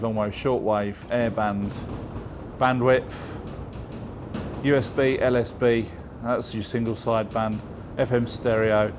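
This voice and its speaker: A man's voice: on the low side, background noise. A man presenting his own video in a chatty, informal, plain-spoken way, explaining things casually to camera. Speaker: video channel host.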